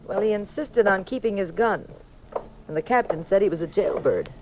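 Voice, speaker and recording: An actress playing a spirited, curious detective's wife in a 1950s radio mystery, on a dull old recording with music. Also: female voice, mid-range voice, expressive, normal speed, noisy background, close to an everyday voice. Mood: curious, doubtful. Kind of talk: 1950s radio drama acting.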